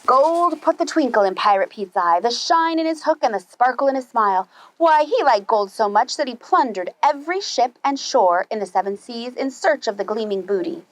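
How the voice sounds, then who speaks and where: A woman reading a children's story aloud as a preschool storyteller, animated and putting questions to her young listeners. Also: fairly high pitch, moderate pace, hardly any pauses, dry recording, poor audio.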